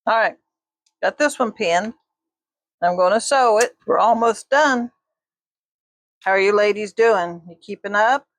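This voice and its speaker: A woman's voice: mid-range voice, unhurried, pauses often, no room sound. Chatty folksy older woman. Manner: folksy, talks to viewers while sewing. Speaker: quilting teacher. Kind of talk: chatty sewing tutorial.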